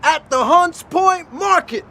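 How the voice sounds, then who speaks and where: A man's voice: very high voice, expressive, slow pace, vocal fry. A man performing poetry on stage in a half-sung, rhythmic delivery. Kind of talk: spoken word and singing on stage. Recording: loud percussion music behind.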